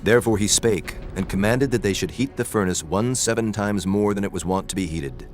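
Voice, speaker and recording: A man with a very low voice reads scripture narration in a measured, solemn way. Clear background music plays beneath his voice throughout. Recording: clear music bed behind.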